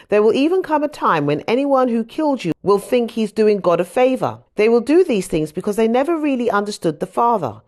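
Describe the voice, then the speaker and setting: A woman narrates scripture as an audiobook reader. Her voice sits a little low and moves through a wide, animated range of pitch. The delivery is scripted and expressive, in the manner of a storyteller.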